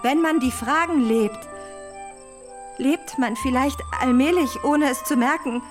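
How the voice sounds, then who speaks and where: High-voiced, volatile wounded film star. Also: female voice, a little slow, music in the background. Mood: reflective. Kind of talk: German radio drama acting.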